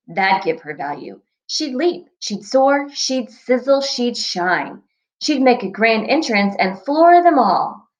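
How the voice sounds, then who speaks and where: A woman reading a children's storybook aloud like a teacher, with deliberate, expressive phrasing for young listeners, on a dull recording. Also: on the high side. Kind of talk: scripted storybook read-aloud.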